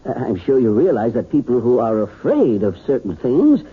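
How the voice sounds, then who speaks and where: An actor playing a folksy family doctor in a 1970s radio drama, plain-spoken, tentative and reassuring. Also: male voice, medium pitch, very animated, normal speed, husky. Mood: explanatory, gentle. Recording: muffled, tinny old radio broadcast.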